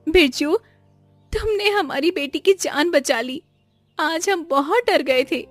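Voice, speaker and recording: A woman's voice: high voice, takes it slowly, no room sound, faint music behind, pitched above the performer's own voice. A woman voicing an anxious, pleading young village wife and mother in a cartoon story. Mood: relieved, tearful.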